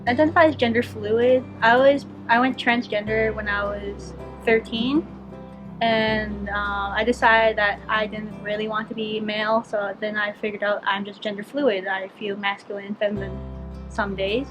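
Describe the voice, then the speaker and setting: A woman's voice: medium pitch, level tone, moderate pace, low-quality recording. A sixteen-year-old with a woman's voice, speaking frankly and matter-of-factly in an interview. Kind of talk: casual interview answers. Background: background music.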